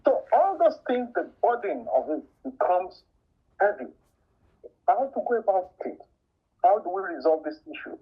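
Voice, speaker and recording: Nigerian man, raspy and fairly high-pitched, dramatic testimony style, slightly muffled recording. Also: sing-song, normal speed, pauses often. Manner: rhetorical, dramatic, asks and answers questions. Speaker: community participant giving testimony. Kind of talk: personal testimony. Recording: dull lo-fi sound.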